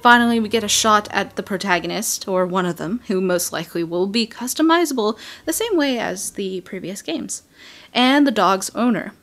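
A woman hosting a game video, giving an excited, chatty, emphatic voice-over breakdown. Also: mid-range voice, normal speed. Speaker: video game channel host. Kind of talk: game trailer breakdown voice-over, semi-scripted. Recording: voice-over with background music. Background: music.

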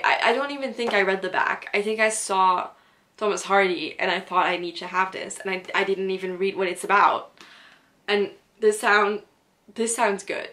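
A woman's voice: medium pitch, bright, forceful tone. Young Dutch-speaking Belgian woman, gushing casual vlogger, recorded at home on a desk camera. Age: young adult. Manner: enthusiastic, gushing with repeated praise. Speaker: book vlogger. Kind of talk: casual chat and reading aloud. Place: small room at home, indoors.

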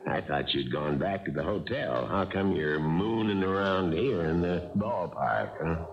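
An actor playing an older baseball boss, fatherly, brisk and prodding, in a 1970s radio drama. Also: low-pitched, lively intonation, loud music behind the voice. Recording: muffled old radio, crowd noise behind.

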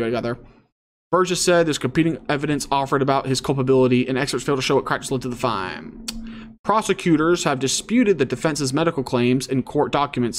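A man hosting online commentary, reading news aloud and reacting casually. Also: medium pitch.

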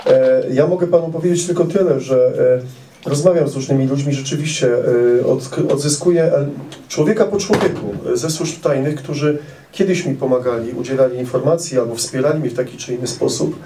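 Measured Polish man, roomy hall sound. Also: medium pitch, background noise. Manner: measured, many "e" fillers, precise. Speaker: author at a meet-the-author event. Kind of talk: answering audience questions. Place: indoor event hall with audience. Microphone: handheld mic. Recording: roomy hall sound, camera some distance off.

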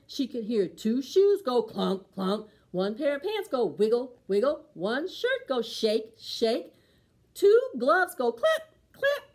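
A middle-aged woman reads a children's story in a high, very animated voice. She takes her time and leans dramatically on the sound words, as a storytime reader does for preschoolers. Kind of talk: expressive preschool picture-book read-aloud.

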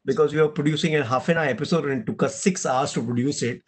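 A middle-aged man moderating a panel on a video call, animated and often adding "right?". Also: on the high side, fast talker. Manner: animated, gesturing, tag question "right?". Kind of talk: casual panel discussion. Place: room at home with blinds and shelves. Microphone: video call webcam.

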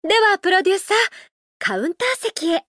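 A woman voicing a gentle, playful idol who loves a drink, speaking politely and teasingly in a mobile game. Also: very high voice, very animated, no room sound, studio quality, heavily acted. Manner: polite, unhurried, teasing, softly flirtatious. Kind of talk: Japanese mobile game character voice lines.

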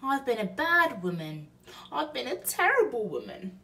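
A woman's voice: fairly high pitch, big pitch swings, moderate pace, pushed and bright, distant mic, voice pushed up, full character voice. A woman voicing an exclamatory, colloquial working-class lady burglar in a storybook reading. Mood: remorseful. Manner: colloquial ungrammatical speech, exclamatory.

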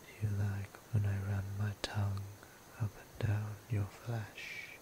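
A man playing a gentle, seductive vampire priest in an ASMR roleplay, whispering breathily with soothing, intimate, formal endearments. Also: lowish voice, completely flat delivery, a little slow, close to an everyday voice. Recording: noticeable ambient background noise.

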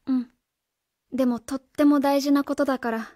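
A woman's voice: high-pitched, steady tone, moderate pace, warm tone, no room sound, studio quality, close to an everyday voice. A woman playing an earnest, by-the-book schoolteacher in a radio drama, careful, polite and insistent. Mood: gentle, serious. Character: earnest, by-the-book female schoolteacher on home visit. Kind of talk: Japanese radio drama acting.

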